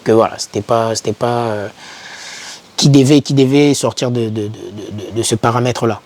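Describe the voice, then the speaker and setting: A man's voice: medium pitch, fairly even intonation, moderate pace, darkish tone. A young man giving informal motivational advice to camera, speaking emphatically and repeating key words to encourage the listener. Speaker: YouTuber advising foreign students.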